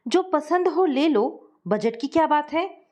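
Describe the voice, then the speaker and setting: A woman's voice: high-pitched, animated, measured pace, darkish tone, pitched above the performer's own voice. A woman reading as a worried future mother-in-law. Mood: uneasy. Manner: anxious, restrained.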